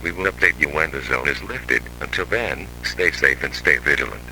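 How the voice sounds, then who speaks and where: An extremely deep, creaky emergency alert announcer, played by a man, stern and formal. He reads an official scripted warning with a hard edge, over a faintly noisy, humming broadcast. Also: moderate pace, heavily acted. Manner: flat, authoritative, formal.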